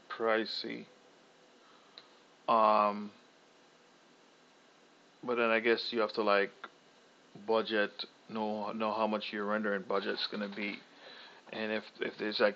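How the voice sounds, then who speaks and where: A young man casually talking through a software tutorial, thinking aloud with fillers and restarts. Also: on the low side, vocal fry. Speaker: tutorial host. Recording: slightly dull lo-fi audio.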